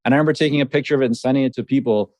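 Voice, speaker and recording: A man hosting a podcast interview in a quick, chatty conversational manner, with Hebrew as his first language and a Canadian upbringing. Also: mid-range voice, darkish tone, dry recording. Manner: quick, chatty, laughs easily. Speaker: podcast host.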